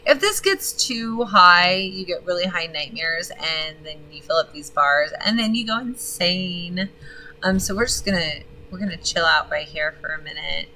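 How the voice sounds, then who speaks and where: Gravelly woman streamer, bright lower voice, rambling and swearing over a faint hiss. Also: normal speed, low-quality recording. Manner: chatty, swears freely, reads game text aloud. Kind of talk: casual gaming stream commentary.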